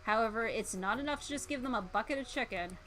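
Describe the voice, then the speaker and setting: A woman streamer reading a game aloud and commenting on it in a playful, animated, exclamatory way. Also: a little high, fast, faint music behind. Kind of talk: live game commentary and dramatic read-aloud.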